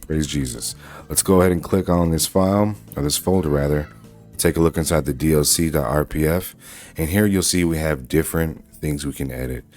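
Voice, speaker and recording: Very deep-voiced man giving a casual tutorial. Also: brisk, a little mellow, faint music behind. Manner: informal, talks through each step as they go. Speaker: tutorial host. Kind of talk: casual screen-recorded software walkthrough.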